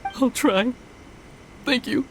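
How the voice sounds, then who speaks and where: Slow, melodramatic admirer. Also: sits high, a little hiss or hum, rough recording. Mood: crestfallen. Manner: dejected, halting. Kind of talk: game voice acting.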